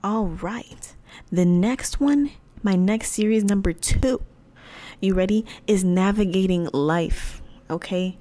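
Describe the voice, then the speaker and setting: A woman in her twenties hosting her own faith podcast, talking in a chatty, animated way full of slang. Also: mid-range voice, takes it slowly. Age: 20s. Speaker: podcast host. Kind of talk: casual faith podcast talk.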